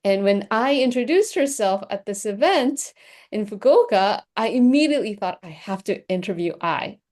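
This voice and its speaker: A woman born in Korea hosts an interview podcast. She talks in an eager, conversational way, full of little fillers, with a voice of ordinary middle pitch. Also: animated, moderate pace, a little mellow. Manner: enthusiastic, conversational, frequent fillers. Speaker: podcast host and executive coach.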